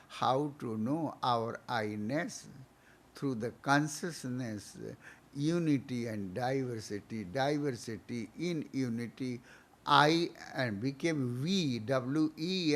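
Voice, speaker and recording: A man giving a spiritual lecture, teaching through repeated questions and swelling loudly on key words. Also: mid-range voice.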